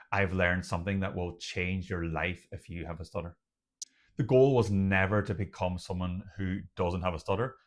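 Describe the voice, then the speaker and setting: A young Irish man, a doctor who hosts his own channel, talking slowly and openly with a stutter and deliberate pauses. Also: sits low. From Ireland. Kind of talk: personal talk to camera.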